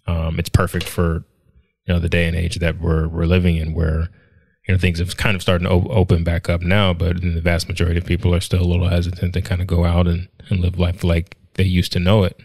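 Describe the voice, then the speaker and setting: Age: 30s. Sex: man. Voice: very deep, dry and close. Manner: rambling, thoughtful, lots of fillers. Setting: home podcast on a stand mic. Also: dry recording. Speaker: podcast co-host. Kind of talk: casual conversational podcast chat. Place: living room at home, indoors. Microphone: studio mic on a stand with headphones.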